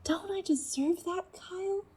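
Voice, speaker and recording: A woman playing an intense, seductive nonhuman being in human guise in an audio drama, speaking in a deliberate, persuasive way. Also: very high voice, mellow. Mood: yearning, aggrieved. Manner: deliberate, measured, persuasive monologue.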